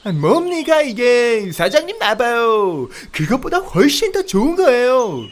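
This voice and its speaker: A man's voice: extremely high pitch, sing-song, unhurried, voice pushed up, full character voice. A man voicing a pleading, wheedling talking deer in a comedic audio fairy tale, obsequiously polite like a salesman. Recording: outdoor nature ambience, birdsong behind.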